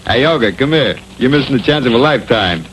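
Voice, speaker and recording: An American man voicing a sly, fast-talking magic cat in a cartoon, with glib, wheedling salesman patter on an old TV soundtrack. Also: medium pitch, expressive, noisy background, lightly acted. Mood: glib, pushy. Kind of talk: comic cartoon voice acting.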